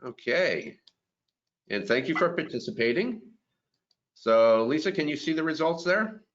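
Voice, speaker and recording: A man's voice: a little high, sing-song, a little slow, fairly bright tone, muffled recording, a little hiss or hum. A man in his fifties hosting a career webinar, upbeat and friendly as he runs polls and moderates. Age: 50s. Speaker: webinar host.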